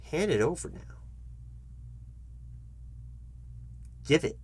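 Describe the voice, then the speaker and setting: A man playing a foolish, patronizing job interviewer in an ASMR comedy roleplay, speaking to the listener in a halting, repetitive, mock-earnest way. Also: a little high, very animated, a little mellow, husky. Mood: impatient, demanding. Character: foolish, condescending male job interviewer toying with the candidate.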